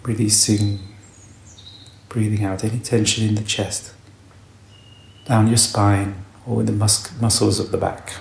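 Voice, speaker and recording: A man guides a meditation in a low voice with a creaky, gravelly edge. He speaks gently in short, unhurried phrases with long pauses between them. The sound is roomy, with some echo around his voice. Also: level tone. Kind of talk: guided meditation, calm spoken instruction. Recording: roomy echo, faint background noise.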